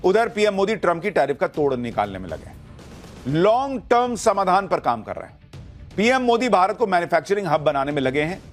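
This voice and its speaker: A man's voice: high-pitched, sing-song, fairly quick, soft background music. A man narrating news in short, declarative phrases.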